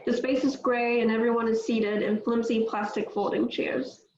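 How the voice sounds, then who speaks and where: A woman's voice: a little high, steady tone, normal speed, a little mellow. A woman reading screenplay stage directions as scripted narration. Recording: roomy, dull lo-fi, faint noise.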